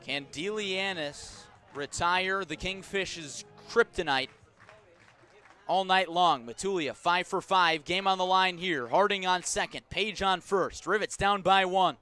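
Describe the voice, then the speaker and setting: Man, baseball radio commentator, bright forceful voice, slightly high pitch, measured delivery swelling into emphatic calls. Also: clear, resonant tone, soft background music. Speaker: baseball play-by-play announcer. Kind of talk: live sports radio commentary.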